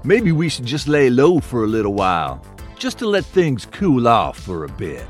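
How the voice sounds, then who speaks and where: Careful, animated gangster number-cruncher , played by a man. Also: mid-range voice, moderate pace, faint music behind, lightly acted. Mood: calculating, cautious. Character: calculating, cautious male gang lieutenant. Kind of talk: crime thriller audio drama dialogue.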